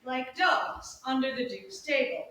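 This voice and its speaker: Woman playing grumbly shakespeare clown servant, high voice, slow, big echo, far from mic. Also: animated. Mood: aggrieved, comic. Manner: slow, declamatory storytelling, mimicking quoted speakers. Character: put-upon comic male servant grumbling about his dog. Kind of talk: staged reading of Shakespeare comedy monologue. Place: live staged reading, recorded from audience distance. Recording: echoey room, distant mic, faint noise.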